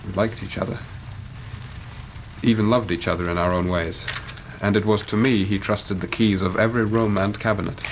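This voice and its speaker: Actor as an earnest, low-voiced English gentleman. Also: fairly even intonation, close to an everyday voice. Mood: reflective. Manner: formal, measured, earnest. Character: earnest, well-spoken young English gentleman telling his story. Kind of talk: radio drama acting, literary narration. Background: storm wind ambience.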